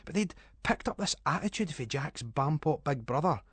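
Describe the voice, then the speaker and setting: A man's voice: on the high side, lively intonation, normal speed, breathy edge, no echo. A man with a Scottish accent giving a dramatic, suspenseful narration of a story in colloquial Scots dialect.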